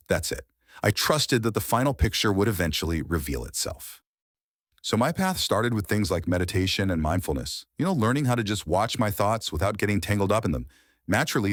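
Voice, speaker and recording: A man in his thirties whose first language is Farsi, a meditation teacher and life coach telling his personal story in a reflective, animated way. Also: lowish voice, dry recording, clean studio sound. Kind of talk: personal storytelling podcast monologue.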